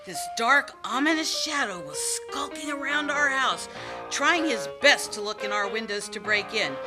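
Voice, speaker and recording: A woman playing a brash, boastful small-town Western girl in an amateur radio play, excitable and bossy. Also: a little high, normal speed, lightly acted. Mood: excited, boastful. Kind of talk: amateur old-time radio play acting. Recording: music playing behind the voice.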